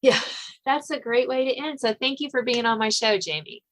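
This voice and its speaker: A woman's voice: fairly high pitch, moderate pace, a bit bright. A middle-aged woman, chatting casually as the host of an author interview.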